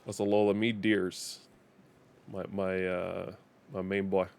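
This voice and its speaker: A man with a Danish accent and a fairly low voice chats his way through a game on a live stream. He is a native Danish speaker, laughs a lot, and stumbles and repeats words as he restarts his sentences. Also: flat delivery, slow pace, gravelly, darkish tone, clean tone. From Denmark. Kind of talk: casual live-stream gaming commentary.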